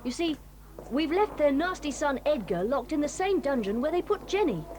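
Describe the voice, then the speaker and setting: A woman's voice: sits high, sing-song, moderate pace, background noise. An actress playing a polite, earnest eldest boy in an audio drama.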